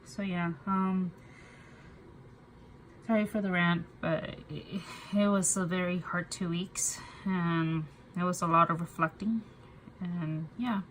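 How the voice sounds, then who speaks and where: Young woman w a stuffy nose, lowish voice, talks to the camera in halting bits with lots of ums, faint hum behind her. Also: fairly even intonation, poor audio. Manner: halting, soft, with many fillers and restarts. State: congested with a cold, nasal. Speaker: budgeting vlogger. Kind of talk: casual personal talk to camera.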